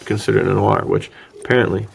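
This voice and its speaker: A man co-hosting a podcast in casual film discussion, joking, with a gravelly, husky voice. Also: on the low side, fairly even intonation, quick pace, slight background noise. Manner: joking, laughs easily. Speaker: podcast co-host.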